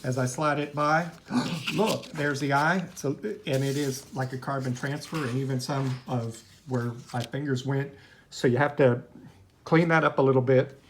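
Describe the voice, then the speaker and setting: A man's voice: mid-range voice, steady tone, normal speed. A man teaching art in an informal, chatty, rambling hands-on demonstration, easily drifting into asides. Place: at home, indoors. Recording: noisy home recording, clicks.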